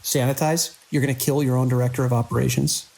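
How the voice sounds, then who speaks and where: An actor playing a beleaguered, idealistic hospital director in a horror audio drama, speaking earnestly and urgently in halting phrases. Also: male voice, medium pitch, steady tone, gravelly, a little mellow. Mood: defiant, indignant.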